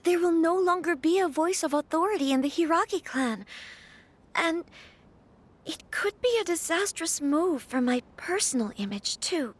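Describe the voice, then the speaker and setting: High-voiced troubled young noblewoman. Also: expressive, normal speed. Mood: concerned. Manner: polite, earnest, hesitant with sighs. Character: troubled young noblewoman, polite and conflicted about her wedding. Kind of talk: video game voice acting, scripted dialogue.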